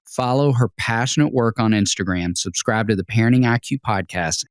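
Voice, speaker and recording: A man co-hosting a podcast who reads a guest introduction in a measured, scripted way. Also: fairly low pitch, no echo. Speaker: podcast co-host.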